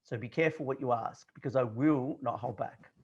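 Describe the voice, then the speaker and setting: A man's voice: mid-range voice, brisk, darkish tone, husky, muffled recording. An Australian man in his forties, a confidence coach who talks bluntly and directly in a casual interview, with frequent fillers. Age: 40s.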